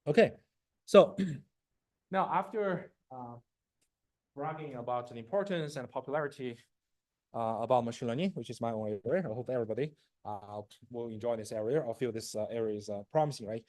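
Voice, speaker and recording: Brief: man, 40s, native Chinese speaker, university lecturer; warm, relaxed voice, dry close sound, halting delivery with lots of "uh" and "right?". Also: medium pitch, no room sound. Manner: halting, many fillers and restarts, asks "right?" often; frequent throat clearing. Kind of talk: classroom lecture.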